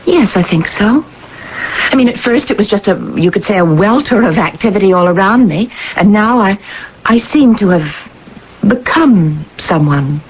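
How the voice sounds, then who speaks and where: A woman's voice: medium pitch, expressive, normal speed, natural voice. An actress playing a troubled woman in her thirties who confides hesitantly and earnestly, in 1980s radio drama style. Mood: hesitant, confiding. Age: 30s. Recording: dull, hissy old radio broadcast recording.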